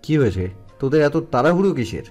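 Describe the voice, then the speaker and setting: A man's voice: fairly high pitch, sing-song, fast, darkish tone. A man playing a reserved young elder brother, secretly in love, in a dramatized audio love story. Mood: questioning. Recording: music under the voice.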